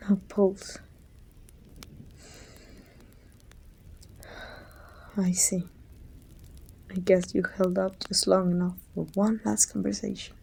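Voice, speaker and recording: A woman from Mexico playing a weary, bittersweet supervillain in an ASMR roleplay, breathy and often near a whisper, with slow, deliberate phrasing. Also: mid-range voice, slight background noise. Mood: grieving, resolved. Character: weary, bittersweet female supervillain confronting a dying rival.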